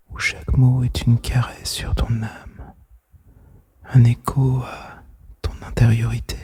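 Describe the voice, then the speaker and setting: A man whispering softly and intimately in an ASMR style, soothing and gentle, close to the microphone. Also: medium pitch. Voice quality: breathy, mostly unvoiced whisper. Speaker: host of a whispered relaxation podcast. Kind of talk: ASMR whispered guided relaxation monologue. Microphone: close microphone, made for headphones.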